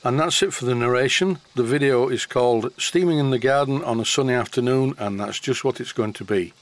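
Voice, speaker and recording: A man's voice: mid-range voice, fairly quick. A middle-aged man from England with a Yorkshire accent, narrating his model steam engine video in a plain, explanatory way. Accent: Yorkshire English. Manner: matter-of-fact, explanatory. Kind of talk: voice-over narration of a workshop video.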